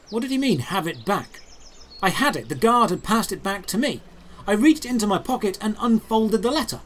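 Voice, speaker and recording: A man narrating a scripted first-person fantasy audio drama in a dramatic, deliberate storytelling manner, in short phrases. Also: high voice, slight background noise. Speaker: narrator and lead character.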